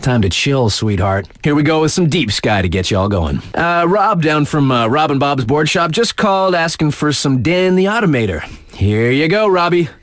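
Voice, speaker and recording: A man's voice: a little high, expressive, normal speed, no room sound, clean studio sound, close to an everyday voice. A man playing a hip, laid-back radio DJ on a snowboard mountain in a video game, introducing tracks with slangy, cocky, playful patter. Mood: upbeat, cool.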